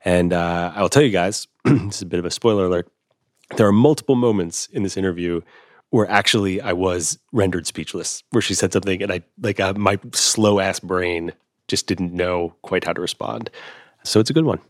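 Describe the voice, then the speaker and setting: A man with a hoarse, husky voice that sits very low, hosting a podcast in a relaxed, self-deprecating way. The sound is close and dry, with no room around it. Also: moderate pace, no room sound. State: losing his voice. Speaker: podcast host. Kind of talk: casual podcast chat and interviewing.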